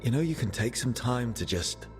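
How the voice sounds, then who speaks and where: An actor playing an earnest, steady mage who leads a team in a fantasy video game, speaking in a measured, serious way. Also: male voice, medium pitch, faint music behind, lightly acted. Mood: concerned. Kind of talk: cinematic video game dialogue.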